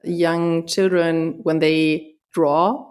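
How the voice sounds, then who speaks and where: Low-voiced woman co-host, measured and thoughtful. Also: flat delivery, a little mellow, smooth. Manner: measured, thoughtful, light laughs. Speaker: podcast co-host. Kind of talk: casual conversation.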